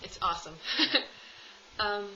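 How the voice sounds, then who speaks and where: Chatty young woman, bright voice. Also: mid-range voice, lively intonation, slow pace, slight background noise, rough recording. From United States. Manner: chatty, rambling with many ums and laughs. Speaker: vlogger. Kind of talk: casual tag video chat. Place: home room, at home with cats.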